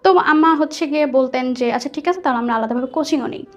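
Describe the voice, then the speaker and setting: A young Bangladeshi woman shares a personal story as a guest. Her voice is high, and she talks in a chatty, conversational way with English words sprinkled in. Soft music plays faintly underneath. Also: fast, lo-fi sound. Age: young adult. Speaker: guest telling a life story. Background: soft background music.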